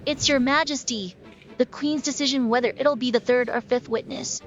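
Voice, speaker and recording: A formal cartoon court official, played by a woman makes announcements in a fairly high voice over faint music. She is officious yet deferential, and the audio is slightly dull. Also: fairly even intonation, moderate pace, lightly acted. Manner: officious, polite.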